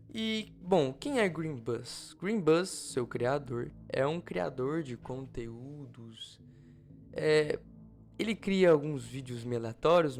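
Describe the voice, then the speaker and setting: A man's voice: medium pitch, vocal fry, darkish tone. A man with a Brazilian accent doing informal video narration as a channel co-host. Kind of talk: informal video narration about analog horror. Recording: music behind the voice. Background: background music.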